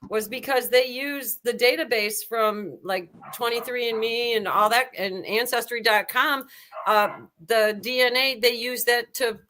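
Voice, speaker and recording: An older woman in a casual panel chat, chatty and quick to talk over the others. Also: on the high side, fairly even intonation, sharp tone, a little hiss or hum.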